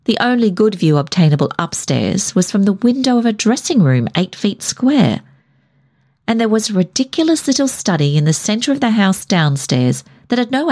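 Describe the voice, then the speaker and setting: A woman reading a short story as scripted narration, in a measured storytelling manner. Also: on the high side, a little mellow. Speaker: story reader.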